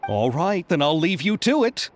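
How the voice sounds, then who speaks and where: An actor playing a modest, earnest adult film director who politely shares credit. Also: male voice, a little high, sing-song, normal speed. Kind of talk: video game voice acting. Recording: game audio with music.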